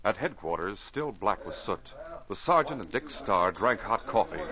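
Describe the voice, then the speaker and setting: Bright, animated vintage radio announcer, a man. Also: lowish voice, normal speed. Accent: North American. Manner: emphatic, theatrical delivery. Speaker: announcer and narrator. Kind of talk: dramatic 1940s-50s radio narration and sponsor reads. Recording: muffled old radio with faint noise.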